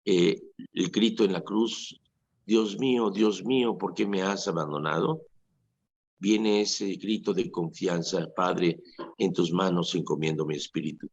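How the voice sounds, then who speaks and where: A Mexican man in his sixties with a very low, husky voice preaches on the radio with earnest conviction. He drops into lively quoted asides to make his point. The sound is dull and lo-fi, as in an old radio recording. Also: a little slow. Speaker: priest and radio host.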